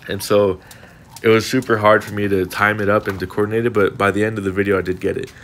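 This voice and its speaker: A man's voice: lowish voice, level tone, fast talker, fairly bright tone, a little hiss or hum. A congested young man talking in an earnest, reflective, rambling way in a casual voice-over monologue. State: congested, sniffling. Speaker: fitness vlogger.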